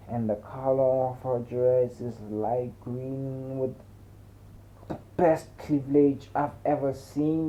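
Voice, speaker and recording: A man's voice: medium pitch, dark tone, slight background noise. A man narrating a dramatic first-person story in a colloquial, slangy way. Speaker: audiobook narrator. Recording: muffled, narrow phone-like sound.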